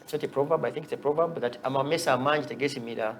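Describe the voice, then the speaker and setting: A man's voice: medium pitch, rapid-fire. A young man with a Ugandan accent speaks as an activist on a talk show. He makes wry jokes about his own points. Faint room noise sits behind his voice. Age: young adult. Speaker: activist guest.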